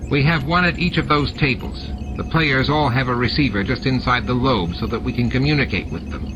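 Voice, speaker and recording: A man's voice: mid-range voice, brisk, pitched above the performer's own voice, lightly acted. Male narrator as a fawning alien henchman, cowardly, placating, eager to please, audiobook character, dull recording, music underneath. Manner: obsequious, placating.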